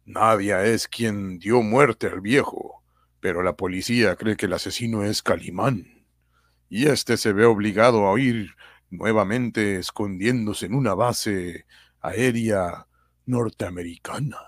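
A man narrating a radio adventure serial in a measured storytelling manner. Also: on the low side, no room sound, clean studio sound. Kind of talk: radio drama reading narration.

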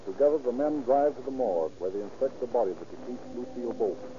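Casting: male narrator, medium pitch, formal factual crime-story delivery, dull and clearly noisy vintage radio recording. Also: fast talker, mellow.

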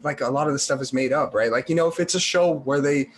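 A man's voice: medium pitch, fast, a little hiss or hum. A man guesting on a casual podcast, easygoing and agreeable, talking with lots of fillers. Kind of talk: casual chat.

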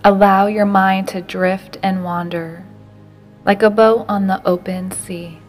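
A woman narrating a guided meditation in a slow, gentle, unhurried way, with softly breathed phrase endings. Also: mid-range voice, fairly even intonation, soft background music.

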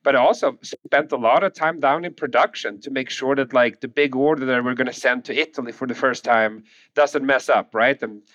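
A man in his forties from Sweden with a Swedish accent, telling his story as a podcast guest in a relaxed way with frequent chuckles. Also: mid-range voice, a little fast, no room sound, highs cut off. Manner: relaxed, chuckling, restarts often. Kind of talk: conversational interview.

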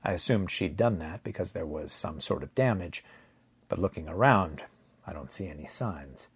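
Low, husky male story narrator, close and dry. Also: expressive, normal speed, dry recording. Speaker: fiction narrator. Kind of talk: first-person audiobook narration of a science fiction story.

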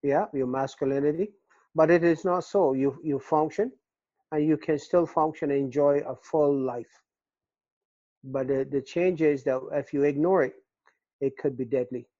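An older man from Barbados with a Barbadian accent, telling his story in a casual interview, earnest and halting with repeated words. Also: fairly high pitch, mellow, dry recording. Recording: dull, dry lo-fi recording.

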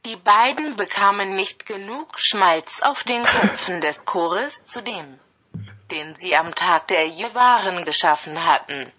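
A computer-generated woman's voice that reads text aloud at a deliberately slow pace. Its tone is bright and even, with the smooth sameness of a speech synthesizer. Every phrase arrives with the same measured rhythm. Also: mid-range voice. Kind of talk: flat machine readout of translated text.

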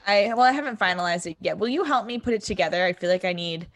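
A young woman with a booming voice, co-hosting a podcast and recapping a TV episode in casual, filler-heavy chat. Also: quick pace, dry recording. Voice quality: booming voice that projects. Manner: chatty, full of fillers like "like" and "um". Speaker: podcast co-host.

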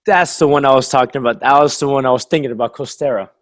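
A man's voice: medium pitch, quick pace, dry recording, clean studio sound. A man in his thirties who runs a winery, casually interviewing a guest in a chatty, friendly way and laughing often.

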